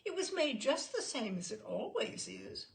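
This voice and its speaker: Woman acting a high-voiced, insistent, chatty wife. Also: sing-song, moderate pace, gravelly. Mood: reproachful. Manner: rambling, insistent, self-assured. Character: chatty, unflappable wife, triumphant and self-justifying. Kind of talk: literary story reading.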